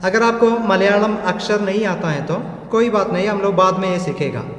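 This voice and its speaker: A man's voice: high-pitched, normal speed, a bit bright, very echoey, long reverb tail. A man with a Malayali accent, a Malayalam speaker, teaching a language lesson patiently and often checking in with his students. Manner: patient, repeats with tag questions.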